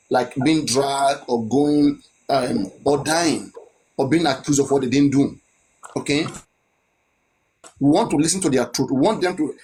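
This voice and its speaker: A man's voice: mid-range voice, a little mellow, rough recording. A man, giving his opinion in a chat and pressing his points with repeated rhetorical questions.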